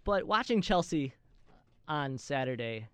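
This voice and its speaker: A man's voice: on the high side, big pitch swings, measured pace, clear, resonant tone, dry recording. A man co-hosting a sports show, discussing soccer in a casual, conversational way. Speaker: soccer talk show co-host.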